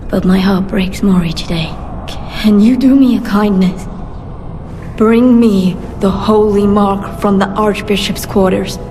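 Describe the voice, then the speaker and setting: Woman playing a sad devout church lady in a game, solemn n slow, big reverb. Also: medium pitch, lightly acted. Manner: solemn, measured. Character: grieving devout woman, gentle servant of the church. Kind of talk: video game voice acting. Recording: long hall reverb, faint music.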